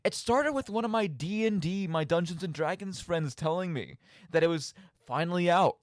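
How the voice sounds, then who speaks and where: A man's voice: sits high, expressive, moderate pace, dry recording, clean studio sound. A young man hosting a casual, rambling radio show in a playful, mock-dramatic, tongue-in-cheek manner. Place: radio studio. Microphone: studio microphone.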